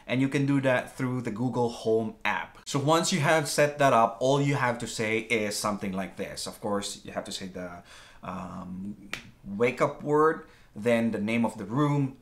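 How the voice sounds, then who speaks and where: Upbeat, chatty man presenting a how-to video. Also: medium pitch. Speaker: host of a smart home tech channel.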